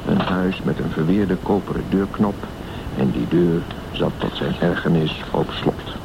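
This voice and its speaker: A man narrating a scripted radio drama story in a measured, literary way. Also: sits low. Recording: dull sound with clear background noise.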